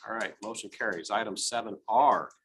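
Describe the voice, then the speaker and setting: A man with a Midwestern American accent chairing a city council meeting, moving briskly through agenda items. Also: lowish voice, noisy background. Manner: brisk, procedural.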